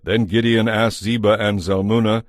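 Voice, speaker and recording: A man narrating a scripted audio Bible as a measured, solemn storyteller. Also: fairly low pitch, little pitch movement, no room sound, clean studio sound.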